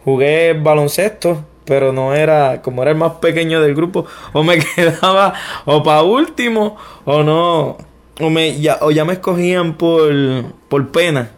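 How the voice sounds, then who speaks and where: Halting Puerto Rican man telling his story. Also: a little high. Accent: Puerto Rican Spanish. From Puerto Rico. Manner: hesitant, frequent restarts, self-deprecating. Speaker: interview guest. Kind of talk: personal testimony interview.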